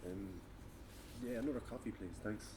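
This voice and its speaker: A man's voice: on the low side, moderate pace, close to an everyday voice. An actor playing a guarded, irritable man just out of prison, giving curt replies in a script read. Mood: casual, shy. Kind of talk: informal table read of a script. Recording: clear background room noise.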